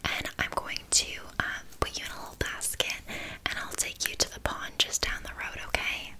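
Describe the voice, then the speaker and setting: A woman playing a flaky, scammy witch in an ASMR roleplay, rambling casually and haltingly in a soft whisper. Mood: breezy, unbothered. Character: flaky, scammy young witch, blithely unbothered.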